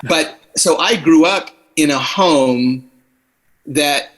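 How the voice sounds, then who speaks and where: A man's voice: on the high side, takes it slowly, fairly bright tone, rough recording. Wordy, thoughtful man on a video call. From Philippines. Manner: thoughtful, wordy, restarts often. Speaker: podcast guest. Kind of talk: casual conversation on a video call. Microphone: computer on a video call.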